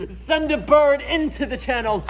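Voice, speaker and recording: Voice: high voice, animated, slow pace. A student actor playing a starving, ancient prophet, frail and pleading, in theatrical stage style. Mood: weakening, grave. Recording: echoey hall, noisy distant stage recording.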